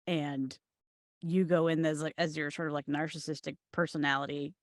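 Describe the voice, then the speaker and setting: A woman with a fairly low voice talks casually among friends. She is wry and conversational, and the sound is very dry. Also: flat delivery, normal speed, no room sound. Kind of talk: casual group discussion.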